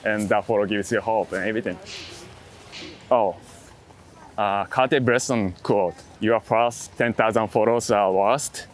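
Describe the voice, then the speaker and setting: A man chatting casually as an interviewed street photographer, in halting non-native English with dropped articles and plurals. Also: lowish voice, fairly even intonation. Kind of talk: casual chat. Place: outdoors in a busy city. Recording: busy city crowd noise behind.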